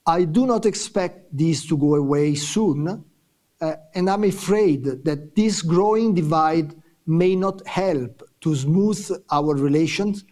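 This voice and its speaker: A man with a mellow, fairly high voice delivers prepared remarks at a conference panel. His delivery is measured and formal.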